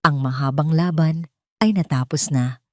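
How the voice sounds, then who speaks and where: A woman's voice: deep voice, moderate pace, no room sound, clean studio sound. A woman with a Filipino accent reading a scripted first-person dramatic story as its narrator.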